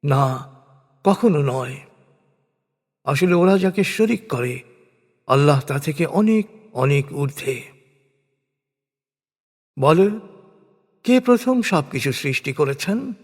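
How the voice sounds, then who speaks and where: A man reading scripted religious narration in a solemn, measured, declamatory way, with deliberate pauses. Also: on the high side, lively intonation. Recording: long reverberant hall echo.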